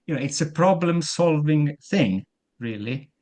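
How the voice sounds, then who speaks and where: A man's voice: a little high, lively intonation, measured pace, a little mellow. Italian-accented man, hesitant on a video call. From Italy. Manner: hesitant, repeats words when starting sentences. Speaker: interview guest, musician. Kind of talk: casual video-call interview.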